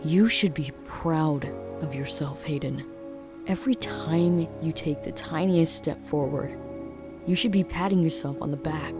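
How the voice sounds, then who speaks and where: A man's voice: on the high side, a little slow, over music, lightly acted. A man playing an earnest, supportive young friend in an audio drama, speaking with emphatic, reassuring phrasing. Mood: encouraging.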